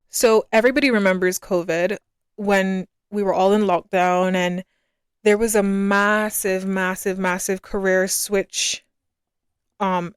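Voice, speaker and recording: Casting: woman, early twenties, from Barbados, smooth clear voice, casual reflective podcast host, close dry sound. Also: mid-range voice, no echo.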